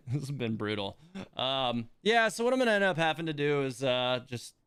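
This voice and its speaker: A man's voice: medium pitch, very animated, moderate pace, a bit bright, clean tone, dry recording, clean studio sound. A man hosting a game livestream, chatting casually in a rambling, self-deprecating way. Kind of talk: casual livestream chat while playing a game.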